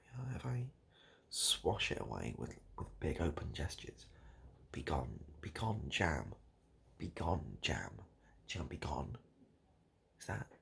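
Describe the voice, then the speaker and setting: A British man doing improvised, absurd comedy straight to the listener in a hesitant, repetitive, deadpan ramble. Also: extremely low pitch, gravelly, slight background noise. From United Kingdom. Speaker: comedic video host. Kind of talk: improvised absurd comedy roleplay addressed to the viewer.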